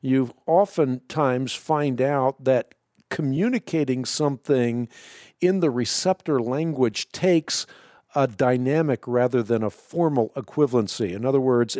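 Mellow-voiced Dutch-born radio host. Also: male voice, mid-range voice, no echo, clean studio sound. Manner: authoritative, explanatory, emphatic. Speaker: radio show host answering callers. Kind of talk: call-in radio Bible answers.

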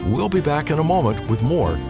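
A male radio announcer reading a scripted promotion in a smooth, measured delivery. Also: a little high, sing-song, loud music behind the voice.

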